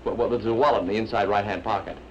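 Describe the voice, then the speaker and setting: A man's voice: on the low side, fairly even intonation, very fast, lo-fi sound, a little hiss or hum, close to an everyday voice. An actor playing a flustered, fussy older man who stammers nervously while talking his way out of trouble, in a 1950s television comedy.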